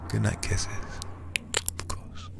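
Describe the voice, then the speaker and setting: A man streaming a game in ASMR style, murmuring in a hushed, breathy, gravelly whisper close to the microphone. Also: slow pace, background noise. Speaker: livestream host, gamer.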